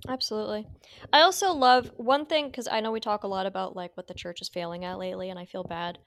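A woman talks earnestly through her thoughts, restarting sentences as she goes. Her voice is slightly hoarse and strained. The recording is dry and close. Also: medium pitch, steady tone, quick pace, no room sound. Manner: earnest, reflective, with frequent restarts and laughs. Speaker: podcast co-host. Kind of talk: casual faith discussion.

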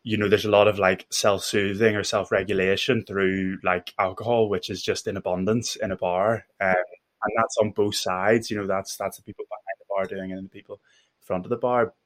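A man with a Belfast accent speaks as an interview guest in a low voice. His intonation stays very even. He is reflective, feeling his way through each thought with some hesitation. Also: a little fast. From Northern Ireland. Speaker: podcast guest, mental health first aid instructor.

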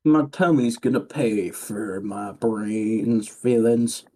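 A man's voice: on the low side, slow pace, dark tone. A man co-hosting a podcast in casual, joking group chat, with a dry, mock-serious way of talking. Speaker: podcast co-host.

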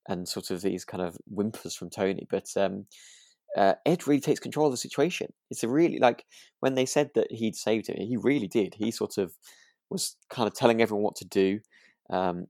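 A man's voice: fairly low pitch, gravelly, a little mellow, dry recording, studio quality. A British man co-hosting a podcast, chatting casually in a hesitant, rambling way as he tells stories. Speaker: podcast co-host. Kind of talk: casual chat about a radio drama.

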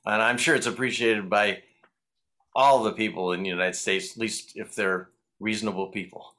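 Middle-aged Michigan man, bright forceful tone, rambling guest who chuckles between thoughts. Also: on the low side, fairly even intonation, moderate pace. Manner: rambling, chuckling, loose storyteller. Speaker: guest musician. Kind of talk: casual interview chat.

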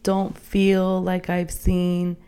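A woman's voice: fairly low pitch, completely flat delivery, extremely slow, dark tone, smooth. A woman co-hosting a podcast in casual personal chat, thinking aloud in a hesitant, conversational way. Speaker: podcast co-host.

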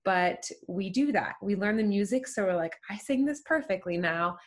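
A woman's voice: medium pitch, animated, moderate pace. A woman hosting a podcast, explaining things and giving advice in a casual chat. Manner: advising, explanatory. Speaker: podcast host.

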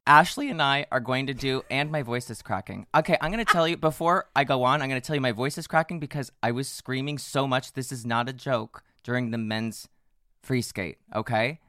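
A man with a hoarse voice co-hosting a podcast, chatting playfully and poking fun at himself. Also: medium pitch, bright, forceful tone, dry recording, clean studio sound. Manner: playful, self-mocking, quick asides. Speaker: podcast co-host. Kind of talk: casual chat.

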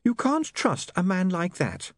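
A man voicing a prim, sharp-tongued, practical young woman in an audiobook, with a prissy and snappy manner. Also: sits high, wildly swooping pitch, a little slow, no echo, clean studio sound, pitched above the performer's own voice, exaggerated voice. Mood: prim disapproval.

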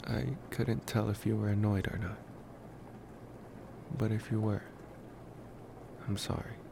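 A young male vampire in love, played by a man, murmurs softly in an intimate ASMR roleplay. His low voice crackles with fry and moves very slowly, tender and soothing, with breaks between phrases. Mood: sheepish, apologetic. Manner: hushed, halting, soothing, affectionate. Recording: clear steady background ambient noise.

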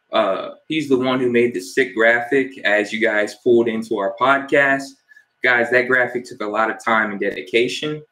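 A young man from New Jersey co-hosting a casual podcast, relaxed and easygoing as he gives shout-outs and asks questions. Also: mid-range voice, low-quality recording. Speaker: podcast co-host.

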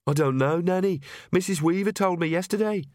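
Man doing an earnest young witch, raised pitch. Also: brisk, darkish tone, no echo, clean studio sound, close to an everyday voice. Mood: earnest, matter-of-fact. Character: earnest, hesitant, bookish young woman witch.

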